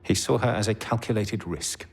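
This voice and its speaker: An actor playing a measured, dry intelligence officer giving a briefing in a video game cutscene. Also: male voice, fairly low pitch, creaky, slightly rough. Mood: dry, thoughtful. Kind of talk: cinematic video game acting.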